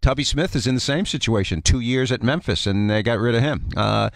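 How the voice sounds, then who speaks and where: A man's voice: medium pitch, fairly quick, vocal fry, dry recording. Male sports analyst in a dry studio. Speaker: guest college basketball analyst. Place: radio studio.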